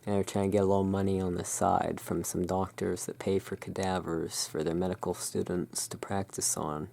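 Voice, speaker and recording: A hushed, soothing and unhurried voice in an ASMR roleplay, playing the owner of a gothic curiosity shop. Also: deep voice, little pitch movement, vocal fry, a little hiss or hum. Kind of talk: ASMR roleplay, showing tarot cards.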